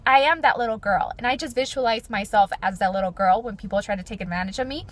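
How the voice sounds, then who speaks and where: Twenties woman vlogging, kinda deep voice but sits a bit high, lots of like and you know. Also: fast. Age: 20s. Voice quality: fairly deep voice for her age. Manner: earnest, chatty, frequent "like" and "you know". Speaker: beauty vlogger. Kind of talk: personal reflective chat to camera.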